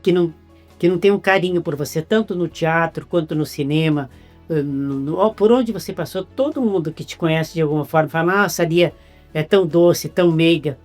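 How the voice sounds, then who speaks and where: A woman's voice: sits low, fairly quick, darkish tone, soft background music. A woman with a Brazilian accent doing a casual, chatty interview, often repeating words as she searches for the phrase.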